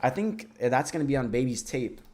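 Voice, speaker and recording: Excitable young-sounding streamer guy, lively voice. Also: medium pitch, fairly quick. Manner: loose and excitable, reads chat comments aloud and talks back. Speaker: livestream host. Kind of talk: casual livestream reaction chat. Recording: loud rap music playing under the voice.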